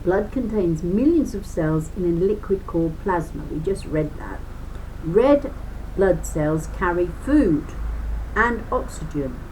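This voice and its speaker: A woman's voice: lowish voice, expressive, unhurried, a little mellow, a little hiss or hum, low-quality recording. A woman reading a children's book aloud as a lesson, explaining as she goes with chatty asides and stressing key words.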